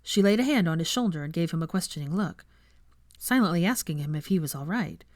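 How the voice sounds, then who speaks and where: A woman narrates fiction as an expressive audiobook reader. Her voice sits slightly low and moves with animated intonation, captured close and dry. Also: fairly quick, dry recording.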